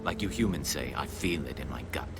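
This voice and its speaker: Alien cop, low and expressive, frustrated and driven, game cutscene over background noise. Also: male voice, brisk, music in the background. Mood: earnest, frustrated. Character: frustrated, determined male alien police investigator. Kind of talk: video game cutscene acting.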